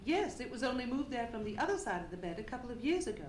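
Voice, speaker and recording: A woman's voice: a little high, very animated, quick pace. A woman playing a polite, earnest young lady client in an old-time radio play, answering in a proper, explanatory way. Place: live theatre stage performance. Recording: echoey stage recording with background noise.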